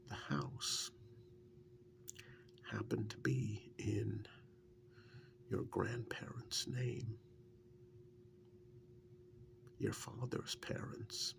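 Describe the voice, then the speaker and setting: A man playing a fondly reminiscing, teasing male partner and former captive in an ASMR role-play, in a breathy half-whisper with gravelly fry and a halting, conversational delivery. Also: on the low side, level tone, heavily acted. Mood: wry, reminiscing. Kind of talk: soft-spoken ASMR role-play monologue.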